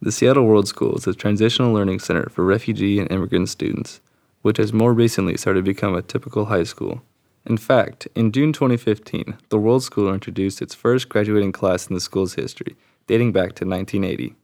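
A man's voice: lowish voice, brisk, a little mellow. A young man co-hosting a student podcast, reading informative narration from a script.

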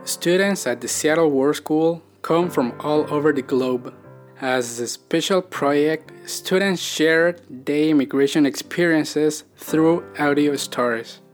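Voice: medium pitch, unhurried. An announcer giving a formal, scripted reading of a program introduction and credits. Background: faint guitar music.

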